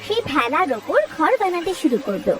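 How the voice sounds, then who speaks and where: A woman's voice: high-pitched, big pitch swings, moderate pace. A woman giving scripted narration for a children's cartoon story, as its narrator. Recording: loud music under the voice.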